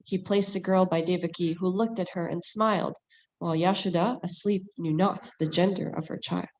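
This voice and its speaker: A woman narrating a sacred story in rhyming verse with an even storytelling cadence. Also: on the low side, moderate pace, poor audio.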